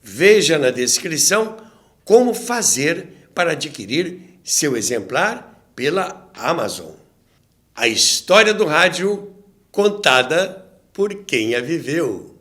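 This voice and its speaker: A man with a Brazilian accent narrating a scripted documentary to his audience, with a measured, dramatic storyteller delivery and deliberate pauses. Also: on the high side, sing-song, fairly bright tone. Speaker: host and narrator of a radio history channel.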